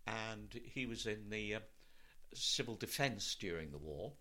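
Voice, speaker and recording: A man's voice: on the low side, animated, a little slow, sharp tone. An older man with a British Received Pronunciation accent, telling anecdotes from his life in a conversational interview. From Wales, later London. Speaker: interview guest, actor.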